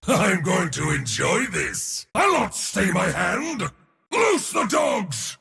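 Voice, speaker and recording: An actor playing an arrogant, bombastic god of war in a video game, declaiming and gloating in a booming theatrical voice. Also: male voice, gravelly, fairly bright tone, big-room sound, exaggerated voice. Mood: wrathful eagerness. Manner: booming, declamatory, gloating, short battle cries.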